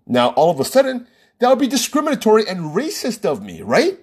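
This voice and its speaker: An American man with a slightly husky voice holds forth on his own podcast. His pitch sits a bit high and swings widely as he presses his points. He keeps checking in with a rhetorical "right?". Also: wildly swooping pitch, brisk. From United States. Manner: emphatic, rhetorical, frequent "right?" asides. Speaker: podcast host. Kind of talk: opinionated solo podcast monologue.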